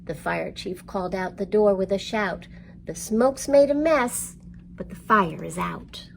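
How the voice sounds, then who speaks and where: A woman leads storytime for young children at a slow, careful pace. Her voice has a noticeable creak, and she speaks expressively in simple, encouraging language. A light layer of background noise sits in the recording. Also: mid-range voice. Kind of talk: read-aloud storytelling and instruction for young children.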